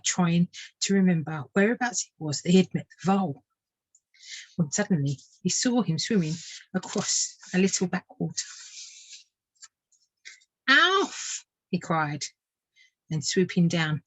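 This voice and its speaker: A woman narrating a children's storybook like a tutor reading aloud, measured and expressive. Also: lowish voice, dry recording, rough recording.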